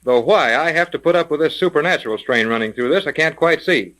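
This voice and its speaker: An actor playing a feisty, skeptical private detective's assistant in a 1930s radio mystery, with blunt, testy, slangy delivery. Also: male voice, a little high, lively intonation, fast, fairly bright tone. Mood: irritated.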